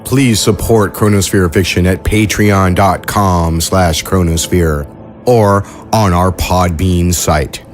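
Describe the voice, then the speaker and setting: A man with a low voice and lively pitch reads the credits and closing announcements at a slow, steady pace. Light music plays behind him. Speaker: podcast producer and announcer. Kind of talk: scripted announcing.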